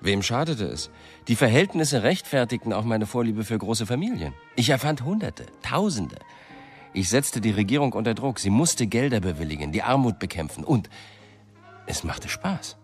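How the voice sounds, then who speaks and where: A man's voice: mid-range voice, big pitch swings, moderate pace, faint music behind. An actor playing a brooding, self-doubting male writer and storyteller in a German radio play. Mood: animated storytelling.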